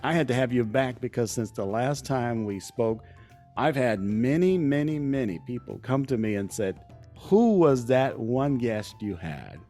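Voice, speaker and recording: A man speaks as a podcast host in a conversational, complimentary way. His voice sits at a medium pitch, and faint music plays behind him. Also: expressive, unhurried, dark tone.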